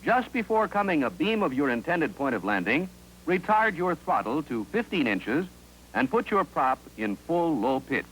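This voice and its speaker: An American man reading scripted narration for a 1950s instructional film in a clear, authoritative, instructive manner, on an old film soundtrack. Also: on the high side, sing-song, normal speed, a bit bright, slight background noise, rough recording.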